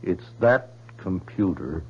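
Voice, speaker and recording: An actor playing a troubled, obsessive scientist in a 1970s radio drama, speaking in a halting, insistent and defensive way. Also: male voice, deep voice, animated, a little hiss or hum. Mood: uneasy. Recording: muffled vintage radio broadcast.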